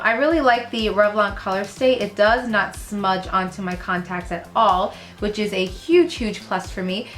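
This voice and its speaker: A young woman beauty vlogger, sick with a rough, lowered voice, chatting casually through a makeup tutorial with fillers and asides, filmed close up in her bedroom. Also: fairly bright tone. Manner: chatty, rambling, fillers, trails off while working. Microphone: camera close up in front of face.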